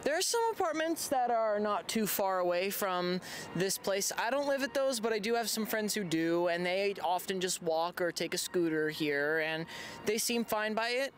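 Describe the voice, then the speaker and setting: A man's voice: high voice, expressive, normal speed, a bit bright, slight background noise. A twenty-year-old male film student giving plain, matter-of-fact answers outdoors into a handheld mic. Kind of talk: casual answers. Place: outdoors on campus.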